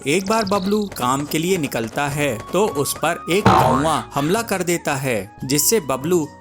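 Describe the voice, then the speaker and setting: A man's voice: fairly high pitch, animated, measured pace. A man reading scripted narration for a children's cartoon story, with music behind him.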